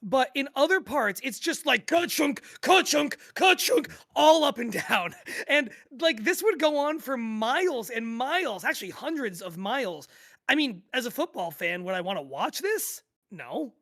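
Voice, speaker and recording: Casting: woman as lively, bright-voiced football-fan podcast co-host, amused and exasperated, casual and sweary. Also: high voice, unhurried, full character voice. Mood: amused exasperation, then giddy amusement. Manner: conversational, amused, giggly, sweary. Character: animated, exasperated sports-fan co-host recounting an absurd endless football game. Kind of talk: scripted podcast table read as casual sports chat.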